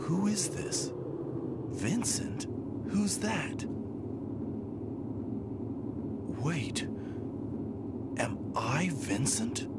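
An actor playing a confused amnesiac young man in a video game, with halting, dramatic delivery. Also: on the high side, very animated, heavy background noise, heavily acted.

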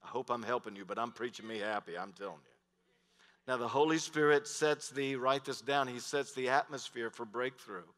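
A middle-aged man preaching a sermon on a large church stage, switching between loud emphatic lines and hushed ones. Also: medium pitch, moderate pace. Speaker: pastor. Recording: long hall reverb.

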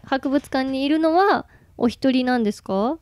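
A woman's voice: sits high, normal speed, a little mellow, clear, resonant tone, no room sound, clean studio sound. A woman hosting a casual radio interview, attentive and often chiming in with short responses. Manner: attentive, frequent backchannels. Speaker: radio host.

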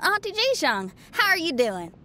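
Young female rock musician for an anime game: high, animated, casual and teasing, music underneath. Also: normal speed, exaggerated voice. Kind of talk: anime video game voice acting.

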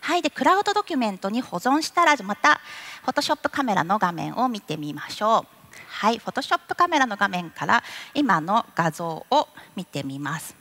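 A woman's voice: mid-range voice, very animated, moderate pace, pushed and bright. A young woman giving a live product demo on a conference stage, politely guiding the audience step by step. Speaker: product demonstrator. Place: large conference hall stage.

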